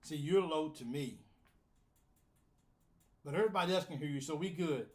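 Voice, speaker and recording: A man's voice: on the high side, normal speed. A man hosting a live fan call-in show, casual and flustered while fixing his audio setup. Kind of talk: casual live-stream chat.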